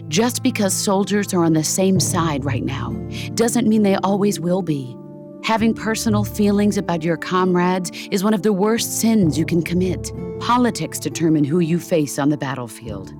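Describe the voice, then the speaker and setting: A stern, middle-aged female war veteran, played by a woman in a video game drama. Her voice is fairly low, solemn and deliberate, like a mentor lecturing. Music plays clearly behind her. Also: close to an everyday voice. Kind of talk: video game cutscene voice acting.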